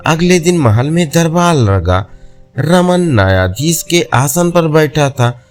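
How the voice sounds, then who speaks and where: A man's voice: on the high side, very animated, slow pace, soft background music. A man narrating a scripted folk tale as a storyteller.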